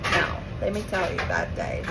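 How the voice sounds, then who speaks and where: A woman's voice: fairly low pitch, huge pitch swings, moderate pace, gravelly, over music. A young woman with an Afrikaans accent, from South Africa, telling a rambling, chatty story to camera with asides and self-corrections. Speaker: vlogger. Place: home with appliances running. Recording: noisy home recording.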